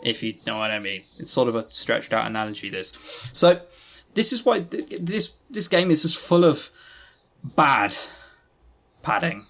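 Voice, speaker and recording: A man's voice: medium pitch, expressive, normal speed, a bit bright. A man co-hosting a podcast, giving a casual review in a rambling, informal and blunt way. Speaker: podcast co-host.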